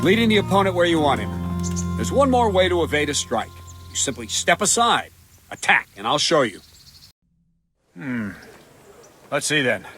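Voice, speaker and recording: A man playing a stern, gruff medieval captain who drills a recruit with clipped commands in a video game. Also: fairly high pitch. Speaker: combat trainer, captain of the guard. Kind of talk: video game cutscene acting. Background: background music.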